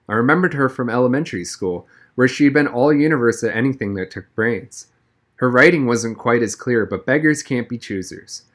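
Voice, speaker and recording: A man's voice: mid-range voice, fairly bright tone. A man reading a children's novel aloud as a first-person audiobook narrator.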